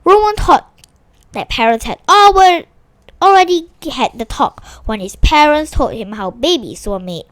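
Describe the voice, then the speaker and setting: A woman narrates a children's story in a fairly high voice. Her delivery is lively and swings widely in pitch, with the odd small stumble as she reads. The sound is dry, with very little room around it. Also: unhurried, no room sound. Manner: animated, with small stumbles and restarts while reading. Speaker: storyteller reading a children's book aloud. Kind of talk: expressive read-aloud narration of a children's story.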